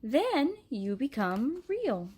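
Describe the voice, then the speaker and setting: A woman voicing a gentle, wise old rocking horse. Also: high-pitched, big pitch swings, extremely slow, husky, full character voice. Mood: earnest.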